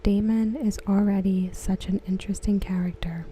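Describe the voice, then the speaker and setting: A woman reading aloud softly for ASMR, gentle and hushed, with a breathy voice that often slips into a whisper. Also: medium pitch, steady tone, moderate pace, a little hiss or hum, rough recording. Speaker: ASMR host. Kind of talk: ASMR, soft-spoken reading of discussion comments.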